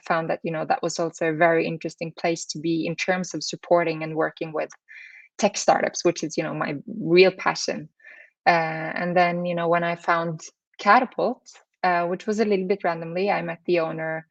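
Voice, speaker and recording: A woman's voice: fairly low pitch, gravelly, no room sound. A woman in her thirties from Norway with a Norwegian accent, giving conversational interview answers peppered with "you know". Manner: hedging, frequent "you know". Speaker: interview guest, startup accelerator CEO.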